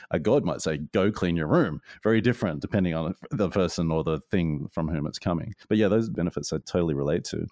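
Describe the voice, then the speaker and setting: A middle-aged man with a deep voice hosting an interview in a casual, talkative, self-deprecating way. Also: warm tone, dry recording, studio quality. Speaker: podcast host.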